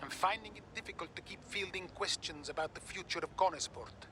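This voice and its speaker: An actor playing an anxious, pushy racing team executive in a video game drama, urgent and coaxing. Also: male voice, sits high, fairly quick, background noise, lightly acted. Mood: impatient.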